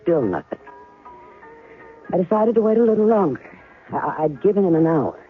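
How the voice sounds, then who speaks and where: An actress playing a guarded, skeptical ex-wife in a 1970s radio drama, speaking in a measured, wry way with some hesitations. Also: female voice, on the low side, very animated. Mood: uneasy, reflective. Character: guarded ex-wife recounting a spy rendezvous, coolly skeptical. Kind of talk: 1970s radio drama acting with first-person narration. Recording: tinny band-limited 1970s radio, faint music.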